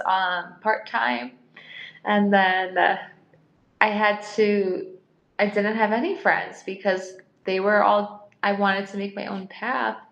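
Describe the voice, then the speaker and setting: A woman's voice: mid-range voice, vocal fry, fairly bright tone, muffled recording. Chatty young woman in an echoey room. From United States. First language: Polish. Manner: chatty storytelling, many likes and restarts. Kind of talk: casual podcast conversation. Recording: roomy echo.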